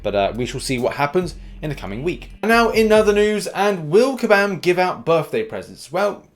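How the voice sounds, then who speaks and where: A man hosting a gaming news video, delivering commentary in an informal, conversational way. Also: sits high. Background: background music.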